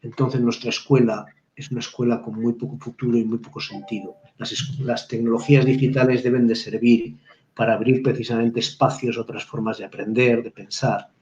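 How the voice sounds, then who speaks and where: A man speaks as a guest professor on a live video call. He thinks aloud, pausing on fillers and restarting phrases. The sound is roomy and a little dull, like webcam audio. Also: medium pitch, little pitch movement, darkish tone. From Spain. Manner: reflective, halting, frequent restarts and fillers. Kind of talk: live webinar discussion.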